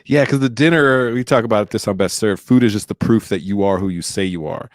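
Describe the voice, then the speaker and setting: A man's voice: fairly low pitch, fairly quick, dry recording, clean studio sound. A man hosting a podcast interview, talking casually with energy and a shifting pace. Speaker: podcast host.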